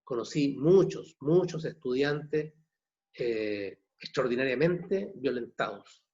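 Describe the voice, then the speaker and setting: A man in his sixties from Chile with a Chilean accent, a novelist answering questions at an online book launch, halting and repeating words. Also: mid-range voice, lively intonation, a little mellow. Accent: Chilean Spanish. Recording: dull muffled video call audio.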